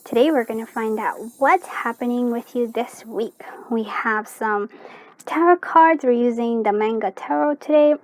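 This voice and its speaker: High-voiced woman chatting through a tarot reading. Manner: informal, chatty, thinking aloud with hesitant pauses. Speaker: tarot card reader and channel host. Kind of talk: casual spoken pick-a-card tarot reading to viewers.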